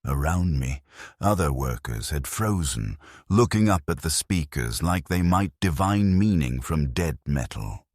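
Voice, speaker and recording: A man's voice: very deep voice, animated, measured pace, darkish tone, no room sound, clean studio sound. A man reading a cinematic first-person story in a grave, solemn manner as a narrator.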